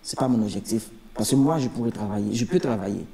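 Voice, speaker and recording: A man's voice: lowish voice, fast, vocal fry, a crowd in the background. A man with a Haitian accent giving spontaneous interview testimony as an asylum seeker, emphatically repeating his phrases.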